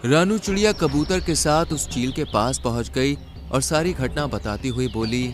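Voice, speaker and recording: A man's voice: mid-range voice, normal speed. A man reading scripted narration for a children's cartoon story.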